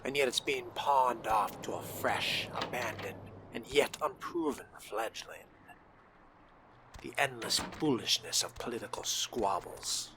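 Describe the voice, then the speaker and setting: A man voicing a composed, haughty young vampire in a role-playing game, speaking formally and deliberately with wry theatricality. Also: mid-range voice, background noise, natural voice. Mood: disdainful. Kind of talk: in-character gameplay narration.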